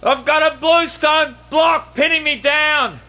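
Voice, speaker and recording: Radio drama: older man in distress, forceful, slow-paced and straining. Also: very high voice, full character voice. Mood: desperate, shouting. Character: suspicious, desperate older man from a squat, in distress.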